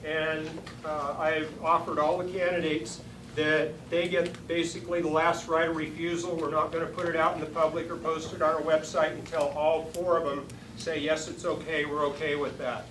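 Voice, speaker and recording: A woman with a deep voice, chatting informally in a folksy way as she moderates a public forum. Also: little pitch movement, normal speed. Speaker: forum moderator and party chair. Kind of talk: informal public opening remarks. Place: meeting room at a party headquarters. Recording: echoey room, distant mic, clear noise.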